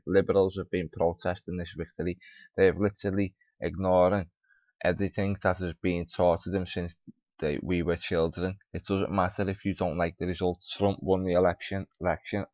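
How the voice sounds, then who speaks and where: Very deep, monotone man on a dull mic. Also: a little fast, dry recording. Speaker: video host, commentator. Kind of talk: reading an article aloud with off-the-cuff commentary.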